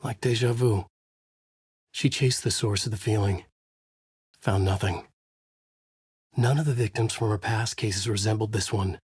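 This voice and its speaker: A man's voice: lowish voice, fairly even intonation, a little slow, pauses often, darkish tone, slightly rough, no echo, clean studio sound. A man playing a haunted, brooding writer hero in a video game, speaking intense noir lines in short clipped phrases. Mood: grim. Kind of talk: noir scripted narration and dramatic game dialogue.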